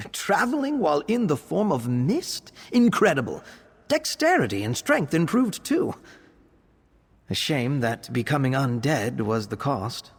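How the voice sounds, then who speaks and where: Young male vampire scholar, game character, earnest, bookish, slightly breathy husky voice, very animated intonation. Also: mid-range voice, in a large hall, exaggerated voice. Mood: eager, wondering. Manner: earnest, expressive, articulate and bookish. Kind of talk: video game character voice acting.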